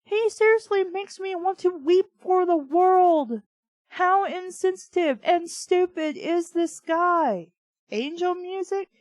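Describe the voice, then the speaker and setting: Woman, high voice, dry close recording, reading aloud with ranting commentary, blunt, exasperated, sweary. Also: slow pace, darkish tone, no echo. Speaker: host of a bad fiction reading show.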